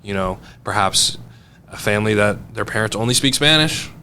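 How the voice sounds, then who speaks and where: A man's voice: on the low side, animated, normal speed, bright, forceful tone, a little hiss or hum. A man co-hosting a podcast, speaking in an earnest, plain-spoken way. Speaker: podcast co-host. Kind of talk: casual podcast conversation.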